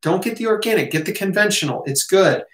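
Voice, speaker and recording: An American man gives a casual Q&A talk in a fairly high voice. He is conversational and reassuring, punctuating his points with short check-in questions. The sound is clearly roomy, as if recorded at a desk in a home office. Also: animated, fairly quick, a bit bright. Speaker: health educator, host. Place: small home office, indoors.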